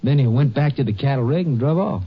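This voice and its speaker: A man's voice: mid-range voice, lively intonation, quick pace, breathy edge. An actor playing a long-haul truck driver who gives his account in a folksy, rambling drawl. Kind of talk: 1950s radio drama acting. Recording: muffled vintage radio broadcast.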